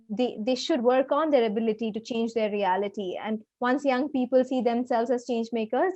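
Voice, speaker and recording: A woman giving a prepared, earnest and formal presentation as a program director, with hesitant pauses. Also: on the high side, a little mellow, dry recording. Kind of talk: prepared presentation at an online festival. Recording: dull, muffled lo-fi audio.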